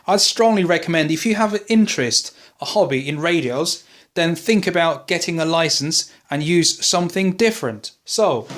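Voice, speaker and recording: A man presenting an informal product buying guide as a hobbyist, explaining and advising as he rephrases along the way. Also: fairly high pitch. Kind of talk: informal product advice video.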